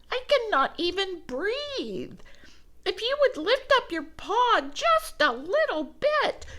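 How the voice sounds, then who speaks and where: A woman's voice: very high voice, pushed and bright, voice pushed up, heavily acted. A woman voicing a cheeky little male squirrel who chirps and taunts in a sing-song way.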